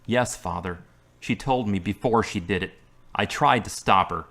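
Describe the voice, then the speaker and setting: A man's voice: fairly low pitch, normal speed, a bit bright, close to an everyday voice. Man as sardonic recovering carpenter, dry humor. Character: wry male carpenter recovering from surgery. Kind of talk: amateur audio drama.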